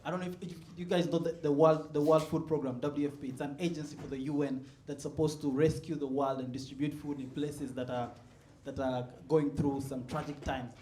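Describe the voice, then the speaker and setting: A man's voice: on the high side, fairly even intonation, a little fast, slight background noise. A man from Sudan, performing a stand-up set in a comedy club and laughing at his own punchlines. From South Sudan. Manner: laid-back, chuckles at his own jokes, builds to loud punchlines. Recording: roomy live club sound.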